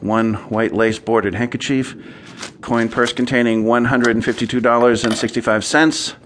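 A man's voice: medium pitch, fairly even intonation, moderate pace, a bit bright, slight background noise, natural voice. An actor playing a shrewd, brusque male defense lawyer in a radio drama, with a clipped, commanding, businesslike manner.

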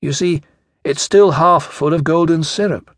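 A man's voice: fairly high pitch, measured pace, no room sound, studio quality, voice pushed up, lightly acted. A man voicing a cautious, doubtful young British schoolboy sidekick who raises objections in a children's audiobook. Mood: cautious, mild.

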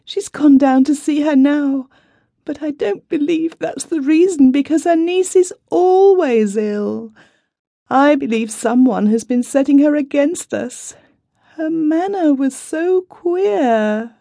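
A woman's voice: high-pitched, takes it slowly, gravelly, dark tone, dry recording, studio quality, voice pushed up, heavily acted. A woman reading aloud and voicing a fretful young wife who is upset and complaining, in a plaintive tone. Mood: tearful. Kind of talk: storybook reading.

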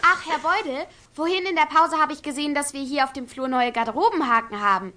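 A woman's voice: high voice, quick pace, sharp tone. A woman playing a carefree, matter-of-fact schoolgirl in a children's audiobook comedy.

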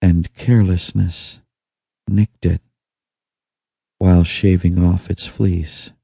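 A deep, very dark and mellow male voice reads a guided meditation. He speaks in hushed, soothing phrases with long pauses between them. The tone is heavily husky and breathy. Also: little pitch movement, no room sound. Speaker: audiobook narrator. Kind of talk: guided meditation, scripted narration.

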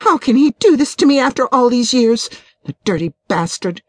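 Woman acting a high-voiced, distraught betrayed wife. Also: animated, moderate pace, no echo. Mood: bitter, indignant. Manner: tearful, wailing, indignant. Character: distraught betrayed middle-aged wife, wailing and bitter.

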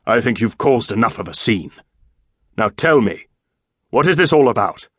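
A man voicing a gruff, wounded English lord, exasperated, sarcastic and commanding, in a historical audiobook. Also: medium pitch, big pitch swings, normal speed, fairly bright tone, no echo, studio quality. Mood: bitter, sarcastic.

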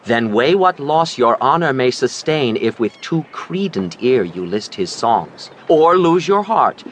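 Animated, earnest young nobleman. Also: medium pitch, a little hiss or hum. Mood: earnest warning. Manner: earnest, cautioning, measured verse delivery. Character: earnest young nobleman, protective, lecturing older brother. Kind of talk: Shakespearean audio drama acting.

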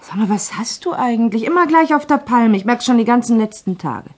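An actress playing a brisk, teasing office secretary in a German crime radio play. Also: female voice, a little high, lively intonation. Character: brisk, bossy but friendly female office secretary. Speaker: actor in a crime radio drama.

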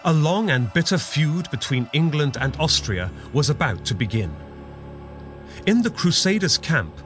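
A man's voice: medium pitch, fairly bright tone. A man giving dramatic scripted narration for a children's audio adventure story. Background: music.

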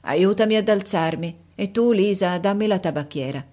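A woman voicing a fussy, imperious elderly countess in a read-aloud story, speaking in a shrill, scolding manner. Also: moderate pace, a little mellow, close to an everyday voice. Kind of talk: audiobook character voice.

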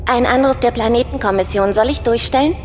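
A woman's voice: on the high side, fast, slight background noise, natural voice. A woman voicing a cool female onboard ship computer in a sci-fi audio drama, giving clipped, neutral announcements. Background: music.

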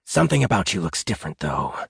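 A man voicing a pompous, needy, menacing jackal trickster in an audiobook, theatrical and drawn-out, with a raspy, gravelly voice. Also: low-pitched, big pitch swings, fairly bright tone, no echo, full character voice. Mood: suspicious. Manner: theatrical, drawn-out grandiose threats, sudden petulant outbursts. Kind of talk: audiobook character voice.

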